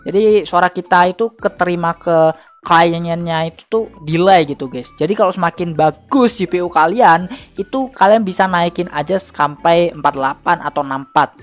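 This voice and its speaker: A young man presenting a casual software tutorial in an informal, slangy, chatty way. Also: fairly high pitch, brisk. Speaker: tutorial host. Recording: faint music under voice. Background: faint background music.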